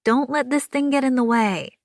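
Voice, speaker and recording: A chatty, kindly woman friend, put on by a female audiobook reader, her voice lifted higher than the narration and full of easy reassurance. She sounds upbeat and soothing. The recording is close and dry. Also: moderate pace, dry recording, natural voice. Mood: reassuring.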